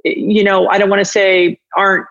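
Female podcast host, mid-range pitch, informal and halting, encouraging, on a close dry recording. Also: flat delivery, fairly bright tone, no echo. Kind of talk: casual conversational interview. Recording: dry, slightly dull lo-fi sound.

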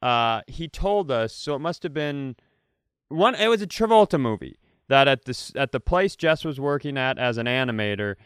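A man co-hosting a comedy podcast, rambling deadpan through offbeat questions in casual chat. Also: mid-range voice, normal speed, clean tone, no room sound, studio quality. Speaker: podcast co-host.

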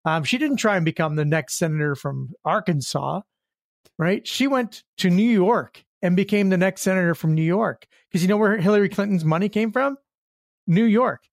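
A man's voice: high-pitched, moderate pace, creaky, no room sound. Blunt, emphatic man, close dry mic. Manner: blunt, emphatic, repeats his verdicts. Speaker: co-host. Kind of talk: political panel chat.

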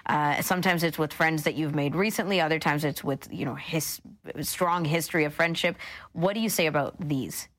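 Lady co-host w a lowish voice, just chatting on a radio show. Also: normal speed, a bit bright. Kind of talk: conversational radio discussion.

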